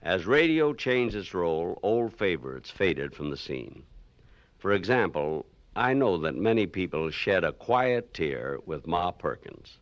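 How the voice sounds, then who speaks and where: A man's voice: deep voice, sing-song, unhurried. A man hosting with scripted, nostalgic documentary narration, on a dull vintage recording. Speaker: host and narrator.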